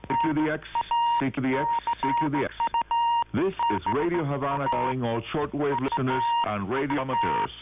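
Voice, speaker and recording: A man's voice: mid-range voice, fairly quick. A man announcing a radio show in a theatrical, scripted style, calling out to listeners in a declamatory way. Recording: tinny shortwave radio reception under loud music. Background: loud music.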